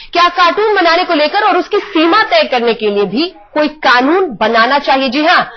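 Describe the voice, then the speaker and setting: A high-pitched woman presents a news debate. Her delivery is emphatic and formal, in a studio presenting style. The broadcast audio sounds somewhat muffled. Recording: dull band-limited broadcast audio.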